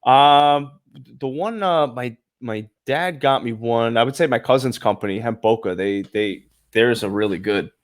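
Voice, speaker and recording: A man's voice: medium pitch, unhurried. A man with a Brooklyn accent hosting a casual interview chat, loose and full of fillers. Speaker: podcast host.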